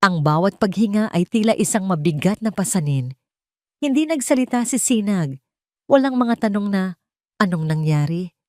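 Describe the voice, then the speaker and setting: A woman with a Filipino accent narrating a dramatic story in a solemn, emotive, deliberate way. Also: mid-range voice, animated, dark tone, no echo, studio quality.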